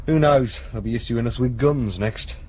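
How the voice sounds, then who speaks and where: A man's voice: medium pitch, normal speed, darkish tone, a little hiss or hum, lightly acted. A man acting a cocky, self-satisfied police detective constable in a radio drama, with dry, teasing banter.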